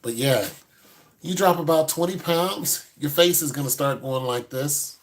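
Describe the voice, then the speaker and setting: A man's voice: on the high side, measured pace. A man in his fifties, a host giving blunt, no-nonsense advice to a caller in casual chat. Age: 50s.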